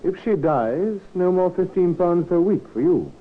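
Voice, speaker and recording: A man's voice: high voice, animated, measured pace. An actor playing a suspicious, coldly insinuating man with a pointed, sarcastic manner in an old radio drama. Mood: accusing. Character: suspicious, insinuating male cousin, cold and disapproving. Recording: tinny, narrow old radio recording.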